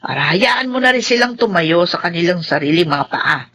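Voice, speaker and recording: A woman acting in a radio drama as a concerned older Filipina aunt, giving frank advice in an emphatic, husky, expressive voice. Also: lowish voice, normal speed. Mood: concerned, advising. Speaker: drama actor.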